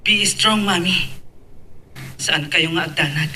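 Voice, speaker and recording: A man's voice: high voice, takes it slowly, bright, forceful tone, full character voice. A man playing an earnest, devoted son who resents his father, in an Ilocano audio drama. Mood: reassuring. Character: earnest son Robin, supportive to mother, bitter at father. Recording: distant mic with faint background noise.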